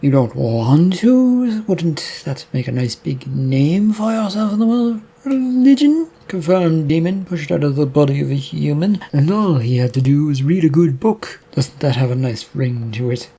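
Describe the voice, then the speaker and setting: Sly possessing demon, slow taunting delivery, husky low tone, read by a man. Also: expressive, warm tone. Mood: coaxing sly. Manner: taunting, coaxing, drawn-out.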